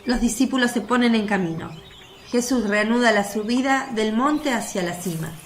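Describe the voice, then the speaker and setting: High-voiced woman narrating religious radio drama. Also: lively intonation, normal speed. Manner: descriptive, literary reading. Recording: faint background noise of birds and crickets.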